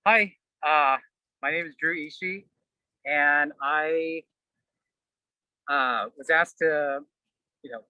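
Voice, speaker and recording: A man who works as a teacher introduces himself at an open mic in a slow, hesitant way. His voice is very bright and fairly high, and the sound is dry. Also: smooth, dry recording, muffled recording. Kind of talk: open-mic speech at a vigil.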